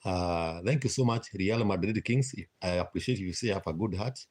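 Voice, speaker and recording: A Kenyan man chatting casually with viewers on a live stream, pausing often on "uh" and repeating himself. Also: low-pitched, a little mellow. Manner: hesitant, frequent "uh" fillers, repeats himself.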